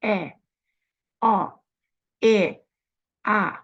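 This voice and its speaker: Slow, deliberate New Zealand woman teaching. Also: mid-range voice, fairly bright tone, dull audio. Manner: slow, deliberate, sounding out letters. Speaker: literacy program presenter. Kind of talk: screen-recorded software walkthrough.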